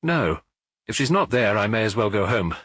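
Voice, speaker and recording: A man's voice: fairly low pitch, very animated, moderate pace, fairly bright tone, breathy edge, no echo, studio quality, full character voice. A man reading an audiobook, voicing a simple young servant girl who answers bluntly and flustered. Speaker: audiobook reader.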